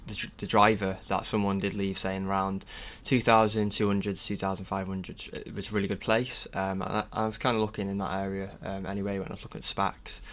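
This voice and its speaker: A man narrating his own DIY project in a casual voice-over, speaking in a halting, self-effacing way. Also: low voice, little pitch movement, fairly bright tone. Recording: clear background noise.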